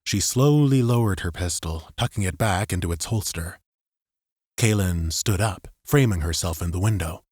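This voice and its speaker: A man's voice: low voice, normal speed, a little mellow, slightly rough, no room sound, clean studio sound. A man narrating dramatic fiction as a scripted audiobook.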